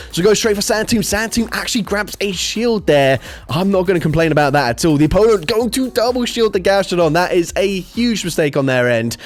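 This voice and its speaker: A young man with a sore throat giving brisk play-by-play commentary on his own online game battles. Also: sits high. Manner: brisk play-by-play with frequent fillers. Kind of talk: gameplay commentary.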